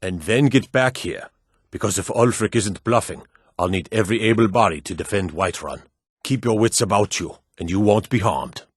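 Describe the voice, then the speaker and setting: Proud, low-voiced warrior lord. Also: male voice, moderate pace, slightly rough, dry recording, clean studio sound. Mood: curt, authoritative. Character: proud, stern middle-aged Nord warrior lord. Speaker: video game voice actor. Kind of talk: scripted fantasy game dialogue.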